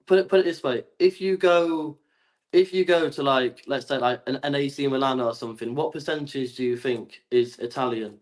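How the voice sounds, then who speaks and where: A man's voice: medium pitch, moderate pace. A man from England arguing his side in a heated debate, stammering and repeating his words. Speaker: guest.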